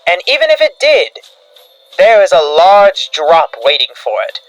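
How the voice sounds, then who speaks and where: Bright-voiced man narrating grave horror briefing. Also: sits high, huge pitch swings, a little slow, no room sound, low-quality recording. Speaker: narrator of a fictional creature field briefing. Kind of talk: scripted analog horror narration. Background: background music.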